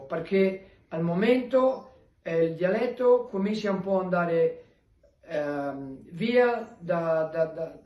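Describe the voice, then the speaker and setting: An older man with a Venetian accent gives an informal recorded message in a halting, rambling way. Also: high-pitched, darkish tone. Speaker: emigrant sending a recorded greeting. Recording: echoey room, distant microphone.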